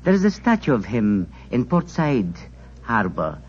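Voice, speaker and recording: An actor playing a formal, learned passenger with measured, precise speech in a 1940s radio drama on a dull old recording. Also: male voice, mid-range voice, big pitch swings, darkish tone, a little hiss or hum. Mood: suave. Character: suave, learned male passenger, courteous.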